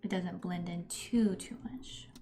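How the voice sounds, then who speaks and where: A woman's voice: lowish voice, fairly even intonation, a little slow, music in the background. A woman artist talking casually on a live drawing stream, thinking aloud in trailing, half-finished fragments.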